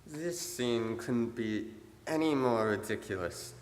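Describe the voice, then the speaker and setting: An actor playing a wistful, wry musical-theatre fan who confides in the audience as a conversational stage narrator. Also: male voice, medium pitch, takes it slowly, slight background noise, lightly acted. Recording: echoey hall, faint music behind.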